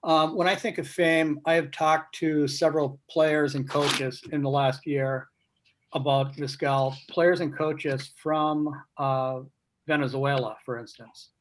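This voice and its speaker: Higher-voiced reflective man, faint noise behind. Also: flat delivery, measured pace, rough recording. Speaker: guest speaker, baseball writer. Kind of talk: casual discussion on a video call. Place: remote video meeting. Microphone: video call microphone.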